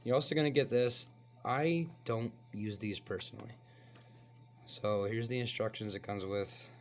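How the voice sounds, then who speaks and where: A man with a hoarse voice from a cold, hosting a casual toy review and chatting as he unboxes. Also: lowish voice, normal speed, a little hiss or hum. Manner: relaxed, chatty, explains as he handles the toy. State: hoarse, getting over a cold. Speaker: toy reviewer, channel host.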